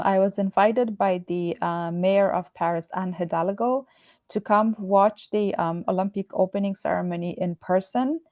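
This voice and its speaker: A woman from Afghanistan, answering interview questions in a measured, sincere way with frequent pauses. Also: medium pitch, a little mellow, no echo, dull audio. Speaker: guest, former Olympian and human rights activist. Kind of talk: earnest conversational interview answers.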